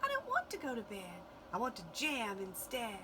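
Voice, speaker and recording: A woman's voice: on the high side, huge pitch swings, normal speed. A woman voicing an eager, insistent young platypus from a children's storybook, childlike, in a noisy recording. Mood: eager protest. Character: eager young platypus who wants to jam.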